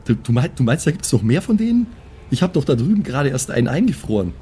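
A man's voice: mid-range voice, very animated, quick pace, dark tone, husky. A man playing an insistent, stammering adventurer urging his friends on in an improvised fantasy tabletop audio game. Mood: flustered. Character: urgent, stammering adventurer leading friends to safety.

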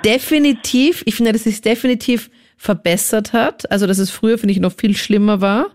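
A woman hosting a casual chat, firing off quick, teasing follow-up questions. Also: mid-range voice, fairly even intonation, darkish tone. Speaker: host.

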